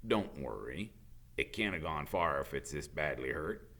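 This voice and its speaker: A man voicing a gruff, burly gamekeeper in a storybook, kindly but wary, with folksy, hesitant, ungrammatical speech. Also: a bass voice, animated, unhurried, fairly bright tone, voice pushed down, full character voice. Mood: wary, hushed.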